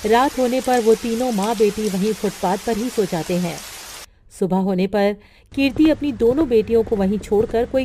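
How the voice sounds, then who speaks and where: A woman narrating a cartoon storybook in a steady, plain way. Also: mid-range voice, a little hiss or hum.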